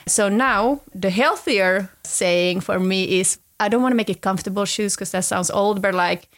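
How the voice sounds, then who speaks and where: A woman in her forties talking casually as a podcast guest, with a middling pitch. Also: normal speed. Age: 40s. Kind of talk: casual chat.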